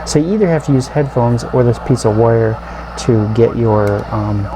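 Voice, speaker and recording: A man casually demonstrating a product, explaining it step by step in a halting, informal way. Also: medium pitch, background noise. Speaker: radio reviewer and video host.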